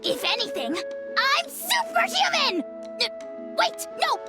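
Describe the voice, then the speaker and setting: Pompous, shrill little dragon lord. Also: female voice, big pitch swings, takes it slowly, exaggerated voice. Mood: outraged, blustering. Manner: pompous, indignant, blustering. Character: small pompous bratty self-styled almighty dragon lord. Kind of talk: video game voice acting. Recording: background music under the voice.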